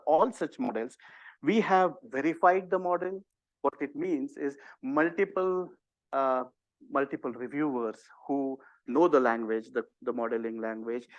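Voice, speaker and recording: Indian-accented male instructor, fairly high voice, loose conversational lecturing, dry sound. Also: no echo, low-quality recording. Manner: conversational, many fillers and restarts. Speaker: workshop instructor. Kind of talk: lecturing to a workshop audience.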